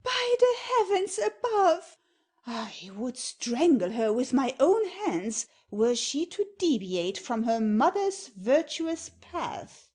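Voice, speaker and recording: A woman's voice: sits high, big pitch swings, slow, darkish tone, breathy edge, exaggerated voice. An actress playing a haughty noblewoman and strict mother-in-law in a theatrical comedy audio play, imperious and grandly declamatory. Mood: indignant.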